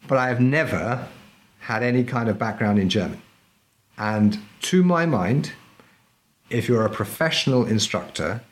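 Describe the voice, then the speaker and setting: A middle-aged man talking casually as a podcast guest, a historical fencing instructor who speaks in a chatty, emphatic way and often checks in with "right?". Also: on the low side. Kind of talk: casual interview chat.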